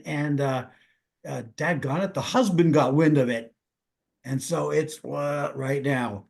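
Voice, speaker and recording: A man on a video call asking a question, earnest and halting. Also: on the high side, darkish tone. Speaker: call participant.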